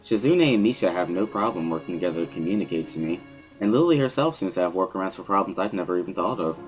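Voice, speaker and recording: A man with a low voice narrates a visual novel in the first person. He reads it as a dramatic story, with soft music playing underneath. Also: lively intonation, fast, poor audio.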